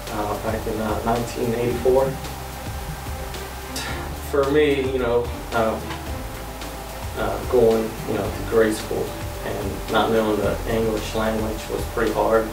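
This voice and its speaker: Flat, low-voiced man in his forties. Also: gravelly. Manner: halting, many fillers. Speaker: interviewee. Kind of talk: personal story interview. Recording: roomy, distant mic, music underneath. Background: music.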